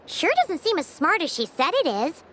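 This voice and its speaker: Tiny fairy sidekick from a game, super squeaky, whiny and dramatic, bounces all over. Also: female voice, sing-song, normal speed, a bit bright, heavily acted. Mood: grumbling. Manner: exclamatory, whiny, theatrical. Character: small excitable girlish fairy companion, chatty, easily grossed out. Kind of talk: video game voice acting.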